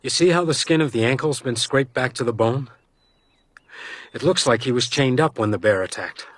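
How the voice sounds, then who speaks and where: A man's voice: medium pitch, moderate pace, dry recording, natural voice. An actor playing a stoic, blunt male detective in a radio crime drama, with dry, understated delivery. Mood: matter-of-fact.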